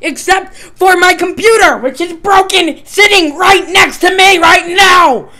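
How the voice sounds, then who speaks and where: A man's voice: very high-pitched, measured pace, sharp tone. A young man talking casually as a video creator and prank caller. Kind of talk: casual vlog intro and prank phone call.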